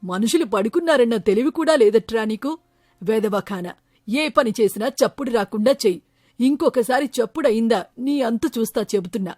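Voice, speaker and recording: A woman voicing a cruel, bad-tempered mistress of the house in an animated story, scolding with a harsh tongue. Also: on the high side, normal speed, dry recording, clean studio sound, pitched above the performer's own voice. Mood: angry, scolding.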